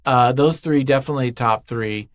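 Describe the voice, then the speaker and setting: A man's voice: mid-range voice, normal speed, no echo. A man speaking as an interview guest in casual, unscripted chat, effusive and emphatic, often thinking aloud.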